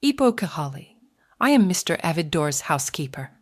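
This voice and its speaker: A woman voicing a calm, gentle housekeeper in an audiobook, measured, soothing and formal. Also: low-pitched, dry recording, clean studio sound. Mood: gracious. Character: calm, gentle Polynesian housekeeper, knowing and serene. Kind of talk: audiobook character dialogue.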